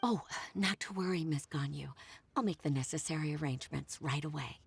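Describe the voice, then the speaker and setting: Game role: polite assistant woman; low, husky. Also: a little fast, full character voice. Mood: courteous. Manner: polite, reassuring.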